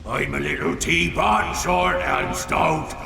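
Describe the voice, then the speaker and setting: An actor playing a grumpy undead robot pirate head with gruff pirate speech. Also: male voice, on the high side, takes it slowly, sharp tone, faint music behind, heavily acted. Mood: sing-song, gruff. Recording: echoey hall, distant from mic.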